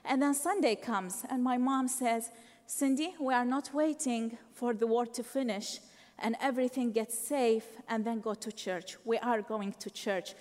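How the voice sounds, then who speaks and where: A woman in her forties from Iraq with an Iraqi Arabic accent, giving an earnest, measured faith testimony in a church. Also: fairly high pitch, a little mellow, big-room sound. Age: 40s. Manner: earnest, measured, non-native phrasing. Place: church sanctuary.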